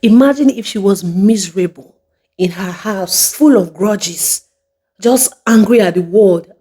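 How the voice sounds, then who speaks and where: Female motivational host with a soft, mellow tone, addressing viewers directly and driving points home with emphatic repetition. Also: mid-range voice, unhurried, slightly rough. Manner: emphatic, repeats phrases for effect. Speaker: video host.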